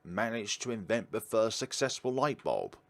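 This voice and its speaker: A man hosting a game playthrough, reading the text aloud and commenting in an earnest, deliberate, theatrical way. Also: on the low side, sing-song, gravelly. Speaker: video game playthrough host. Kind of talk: dramatic read-aloud of game text with his own commentary.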